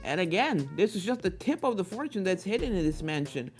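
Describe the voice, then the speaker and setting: Woman playing a hearty, animated male mentor pony. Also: lowish voice, a little fast, faint music behind. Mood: explaining. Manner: hearty, instructive, encouraging. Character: encouraging adult male ghost-hunter spirit pony, hearty mentor.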